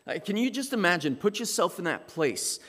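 A man from South Africa with a South African accent, preaching a sermon as pastor in measured, emphatic phrases with dramatic pauses. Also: high-pitched, big pitch swings, fairly bright tone. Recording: long reverb of a large hall.

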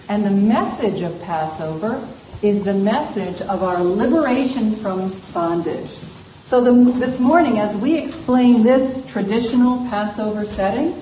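Woman presenter, expressive storyteller, distant in a roomy space, muffled with faint hiss. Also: medium pitch. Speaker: missionary presenter. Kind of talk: church presentation.

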